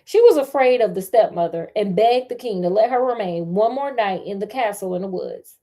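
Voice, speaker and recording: A woman's voice: medium pitch, expressive, brisk, warm tone. An American woman reading a fairy tale aloud, breaking off into sassy, chatty, colloquial joking commentary. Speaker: storyteller and host.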